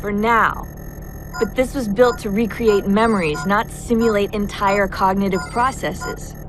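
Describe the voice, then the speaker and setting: A woman's voice: medium pitch, fairly even intonation, measured pace, music in the background, lightly acted. Worried woman technician played by an actress, distant. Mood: concerned. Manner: matter-of-fact, cautionary. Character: worried, skeptical young female technician. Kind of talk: video game cinematic dialogue.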